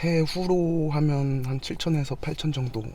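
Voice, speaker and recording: A man's voice: a little high, moderate pace, mellow. A man in his thirties giving polite, matter-of-fact answers in a casual interview inside a car. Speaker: restaurant franchise owner being interviewed. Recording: clear vehicle noise behind the voice. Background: vehicle and road noise.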